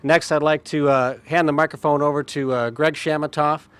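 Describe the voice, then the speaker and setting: Clear, slightly high-voiced astronaut. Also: male voice, steady tone, moderate pace. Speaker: astronaut, spacewalk lead. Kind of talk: formal press statement.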